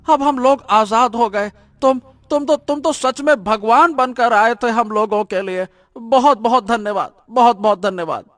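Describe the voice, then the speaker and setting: Guy doing a cartoon prisoner, super high sharp voice, urgent and effusive, repeats himself. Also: normal speed, no echo, studio quality. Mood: relieved, grateful. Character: frightened, pleading captive human prisoner. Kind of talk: dramatic animated story dialogue.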